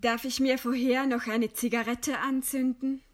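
An actress playing a proud, scornful woman writer facing execution in a radio play, with a deliberate, ironic delivery. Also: high-pitched, level tone, natural voice. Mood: dry resignation. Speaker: radio drama actor. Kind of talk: German radio play acting.

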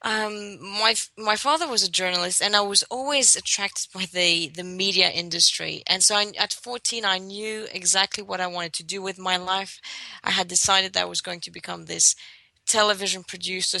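A woman's voice: medium pitch, normal speed, creaky, pushed and bright, no echo, poor audio. A young woman from Greece telling her career story as a podcast guest, hesitant with fillers and restarts. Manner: hesitant, many fillers and restarts, self-deprecating. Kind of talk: casual interview storytelling. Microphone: conference call line.

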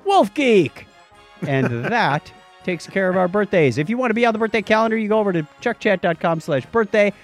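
Male podcast co-host: high voice, very animated intonation, wry casual banter over faint music. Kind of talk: casual banter, reading birthdays and news.

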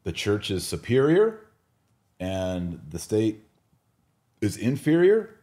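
A man's voice: on the low side, huge pitch swings, takes it slowly, warm tone. A man hosting a casual religious discussion, explaining his opinions emphatically and posing rhetorical questions. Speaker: podcast host.

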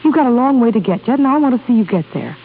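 An actress playing a devoted, discreet young secretary, polite and earnest, in 1930s radio drama style. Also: female voice, on the high side, big pitch swings, racing, breathy edge, heavily acted. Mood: tender, earnest. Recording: tinny, noisy 1930s radio recording.

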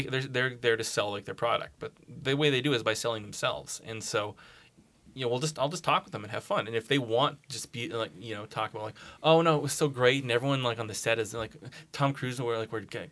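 A man's voice: mid-range voice, a bit bright. A man chatting casually as a podcast guest, joking in a self-deprecating way and swearing freely. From Scotland. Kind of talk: casual chat.

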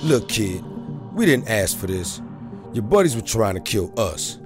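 A hard, calm wolf-man mercenary , as a male narrator puts him on, with a deep, serious voice. He talks low and steady, with quiet menace. Music plays under the storybook reading. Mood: menacing. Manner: steady, threatening, measured. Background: background music.

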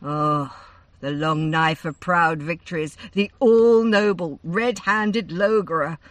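A woman voicing a stern, commanding older queen who speaks curtly in a low voice. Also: expressive, a little slow, sharp tone, breathy edge, no echo, distant mic, heavily acted. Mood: grim.

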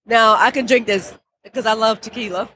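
A woman's voice: fairly high pitch, steady tone, moderate pace, pushed and bright, poor audio. A woman chatting casually about drinks, gushing and laughing often. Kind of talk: casual tasting chat.

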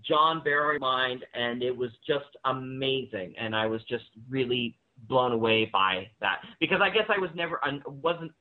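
A man speaking as a guest in a casual interview, rambling enthusiastically about his work and interests. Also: medium pitch. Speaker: guest, audio drama writer and director. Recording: narrow-band phone-like line.

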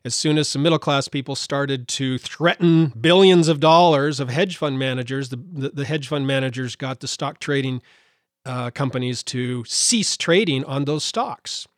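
A man's voice: mid-range voice, normal speed, no echo, clean studio sound. A man hosting a podcast, talking indignantly with many fillers and restarts, his voice slightly worn. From United States. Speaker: podcast host. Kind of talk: solo podcast commentary.

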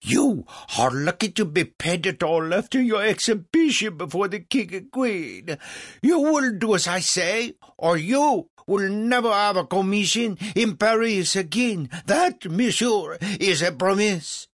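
A man's voice: sits high, lively intonation, unhurried, a bit bright, dry recording, studio quality, pitched above the performer's own voice, exaggerated voice. A man voicing a pompous, boastful French host in a storybook, with a grandiose, emphatic manner. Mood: threatening.

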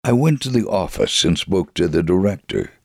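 An actor playing a devout, steadfast Israeli father in an earnest, deliberate, theatrical dramatic reading of a memoir. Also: low voice, animated, a little mellow, no echo, studio quality. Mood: calm, resolute. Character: devout, steadfast Israeli father telling his life story.

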